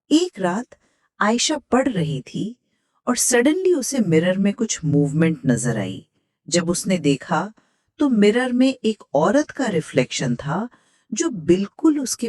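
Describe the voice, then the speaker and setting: A woman's voice: mid-range voice, very animated, takes it slowly, darkish tone, no room sound, clean studio sound. A woman with a Pakistani accent hosting and reading a scripted horror story. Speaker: host, horror story narrator.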